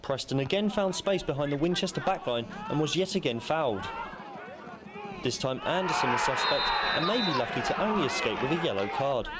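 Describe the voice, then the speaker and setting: A man's voice: a little high, animated, fairly quick. A man giving a scripted football match report as a sports reporter. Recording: very loud match crowd and outdoor noise. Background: football crowd outdoors.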